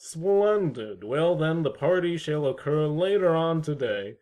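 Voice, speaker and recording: A mysterious, courteous gentleman, acted by a man in an audio play. His voice sits a little high, and his phrasing is stiffly formal and wordy. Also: expressive, a little slow, poor audio. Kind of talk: fan audio drama acting.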